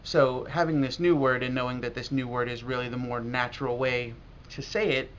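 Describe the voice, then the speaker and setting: An American man talking casually and reflectively in a video blog as a language learner, halting now and then with pauses. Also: medium pitch, fairly even intonation. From United States. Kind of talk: casual talk to camera about learning. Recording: dull lo-fi audio with clear noise.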